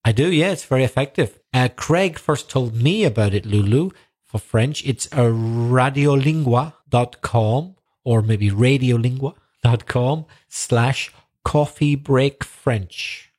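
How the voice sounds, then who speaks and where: A man's voice: mid-range voice, slow pace. A man co-hosting a podcast for English learners, chatting casually and reading listener mail aloud. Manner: relaxed, reads listener emails aloud. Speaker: podcast co-host and English teacher.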